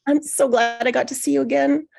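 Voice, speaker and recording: A woman's voice: high-pitched, steady tone, a little fast, gravelly, darkish tone, dry recording, studio quality, faint music behind, voice pushed up. A woman playing an eager, insecure woman in her mid-thirties with a hesitant manner, in a screenplay table read. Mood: flustered, coy. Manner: hesitant, overeager.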